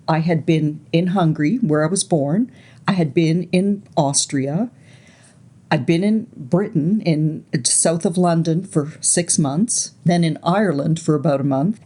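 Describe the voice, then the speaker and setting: A woman in her sixties, raised in Canada, recalling her life story slowly and reflectively as an interview guest, often pausing to search for words. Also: sits low. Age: 60s. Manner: soft, unhurried, searching for words.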